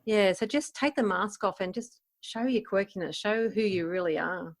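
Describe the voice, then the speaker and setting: A woman's voice: medium pitch, normal speed. Encouraging Australian woman, plain-spoken. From Gold Coast, Queensland, Australia. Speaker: podcast host answering interview questions. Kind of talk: casual, conversational interview.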